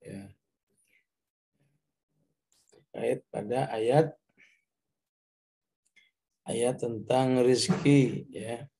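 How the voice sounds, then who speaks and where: A man teaches scripture in a dark, mellow voice with a gravelly creak. His delivery is very slow and halting, like a patient lesson, broken by short confirming tags. He moves between explanation and Arabic recitation. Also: mid-range voice. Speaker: Islamic teacher, Quran commentator.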